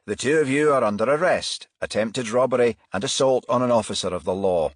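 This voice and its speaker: A man's voice: medium pitch, brisk, no room sound, pitched above the performer's own voice, lightly acted. Casting: unflappable male police inspector for crime audiobook, authoritative, dry sound voiced by a male reader. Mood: commanding.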